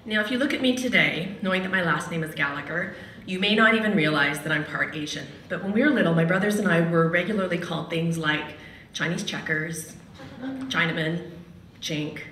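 A woman's voice: lowish voice, fairly quick, fairly bright tone, a little hiss or hum. A middle-aged Canadian woman, a radio host, telling a personal story at a podium in a large hall in a wry, conversational way. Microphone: podium mic from a distance. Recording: echoey hall, mic at a distance.

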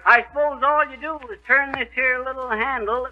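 An actor playing a blustering, boastful, folksy husband in a 1930s radio comedy. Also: male voice, very high voice, steady tone, normal speed, noisy background. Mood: cocky. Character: blustering, bragging small-town husband, clumsy and folksy. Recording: dull, band-limited 1930s radio recording.

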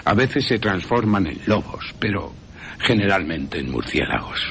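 A man voicing a learned, patient professor who hunts vampires, measured and emphatic, with a Castilian Spanish accent, in radio storytelling. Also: deep voice, very animated, fairly bright tone, slight background noise, full character voice. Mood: assured.

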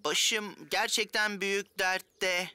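A woman's voice: medium pitch, fairly even intonation, slow pace, pushed and bright, smooth. A woman voicing a mischievous young schoolboy in a cartoon who is often in trouble. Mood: sheepish.

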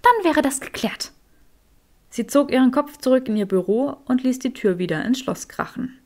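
Higher-pitched woman narrating an audiobook. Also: expressive, brisk, warm tone. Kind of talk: scripted narration of fan fiction.

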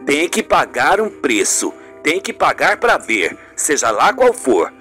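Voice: mid-range voice, big pitch swings, slow, bright, forceful tone, faint music behind, full character voice. A performer voicing an earnest male friend who gives firm advice in an amateur radio soap opera. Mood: urging.

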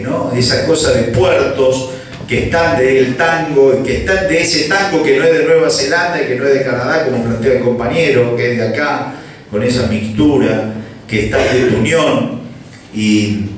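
A man's voice: mid-range voice, distant mic, a little hiss or hum. A man speaking on a panel in a university hall, musing and digressive, recorded from afar in a roomy hall. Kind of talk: panel discussion. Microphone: handheld mic, far camera.

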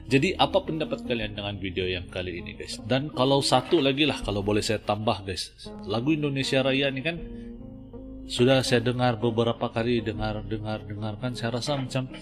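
A man's voice: mid-range voice, brisk. A man in his thirties with a Malaysian Malay accent, hosting a casual reaction video from a small home studio in an earnest, emphatic way. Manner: earnest, says "guys" constantly, emphatic. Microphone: studio mic on arm, wearing headphones. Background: music from the video being reacted to.

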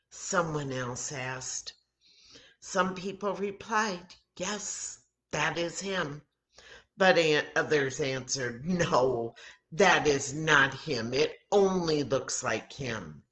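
An older American woman, in her sixties, reads a children's Bible story aloud in a dramatic, deliberate manner, acting out the dialogue. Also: low voice, animated, a bit bright. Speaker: children's story time host at a church. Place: home kitchen, indoors. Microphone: webcam or laptop mic at arm's length.

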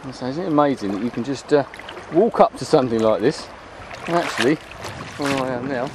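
Bloke rambling casually on a walk, medium pitch, handheld camera mic, lots of outdoor noise. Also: male voice, animated, fairly quick, darkish tone. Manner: chatty, rambling. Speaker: vlogger filming a beach walk. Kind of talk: casual, unscripted walking commentary. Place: open beach at low tide. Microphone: handheld camera's built-in microphone. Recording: outdoor handheld recording, clear wind and water noise.

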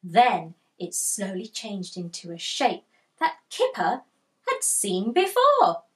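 A woman in her thirties reading a picture book aloud to children, expressive and deliberate, as a storytime host. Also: mid-range voice. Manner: expressive, deliberate, asks listeners questions. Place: small furnished room indoors with bookshelves.

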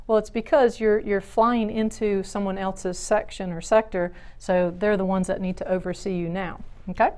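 Middle aged lady instructor, really clear smooth voice, medium pitch, explains stuff step by step like in class. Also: darkish tone. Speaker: flight instructor. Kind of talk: instructional lecture, explaining at a whiteboard. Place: small indoor classroom or training room.

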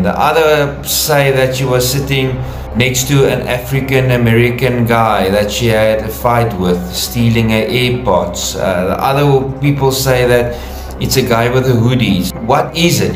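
Irritated man vlogging in an echoey room. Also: mid-range voice. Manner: plain-spoken, a bit irritated, asking viewers to come forward. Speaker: commentary vlogger. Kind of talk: casual commentary. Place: indoors in front of a logo backdrop. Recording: roomy echo.

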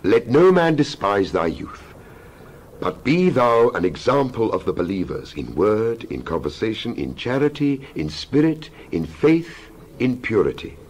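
A man reading scripture aloud in a dramatized style, solemn and declamatory, in measured phrases. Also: fairly low pitch, very animated, darkish tone. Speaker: Bible reader.

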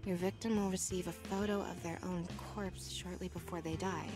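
A woman's voice: lowish voice, fairly even intonation, normal speed, lightly acted. A woman playing a cynical, hot-tempered young schoolgirl in an anime visual novel. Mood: puzzled, reading. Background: game music.

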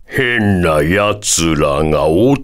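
とても低い声の悪魔. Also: male voice, deep voice, expressive, exaggerated voice. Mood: solemn. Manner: slow, drawn-out, ends sentences with a quirky "ma" tic. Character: long-lived male devil, solemn, quaintly pompous town guardian. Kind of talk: Japanese video game character voice acting.

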